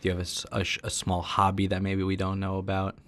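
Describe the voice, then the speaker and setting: A man with a deep voice chats as a podcast co-host. He puts his questions casually, with an informal, joking manner. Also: monotone, quick pace, a bit bright. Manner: jokey, informal.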